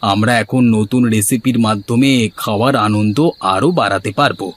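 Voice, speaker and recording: A man's voice: on the low side, normal speed, fairly bright tone, slight background noise, close to an everyday voice. A man playing an earnest villager in a cartoon, speaking in a formal, declaiming way. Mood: proud, grateful. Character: earnest male villager who organises a village fish fair. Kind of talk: scripted cartoon dialogue.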